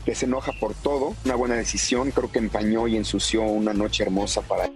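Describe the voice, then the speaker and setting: A man's voice: fairly low pitch, steady tone, quick pace, faint music behind. A man speaking firmly in a played-back press clip.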